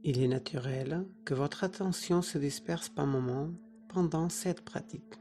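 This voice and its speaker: A yoga nidra teacher guiding a relaxation meditation in short, soothing phrases with pauses between them. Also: medium pitch, dark tone, soft background music.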